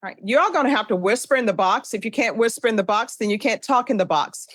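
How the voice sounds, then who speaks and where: An American woman speaking as a judge, putting formal procedural questions in a brisk, authoritative, rote manner. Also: a little high, expressive, fairly bright tone, no echo. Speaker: judge presiding over a plea hearing. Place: courtroom.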